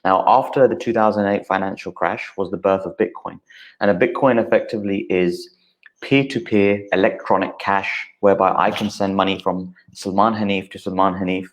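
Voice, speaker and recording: A man in his thirties with a low, deep voice. As a guest he explains things steadily, with frequent uh fillers, and his pitch stays even and flat the whole time. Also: normal speed, low-quality recording. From United Kingdom. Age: 30s. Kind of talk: explanatory interview talk.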